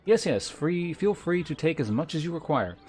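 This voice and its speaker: A servile shopkeeper from a fantasy game, put on by a man, desperate to please. The speech is flowery and overly polite. Music plays clearly behind. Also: on the high side, sing-song, normal speed, darkish tone. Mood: fawning, eager. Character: fawning, servile tool supplier eager to please.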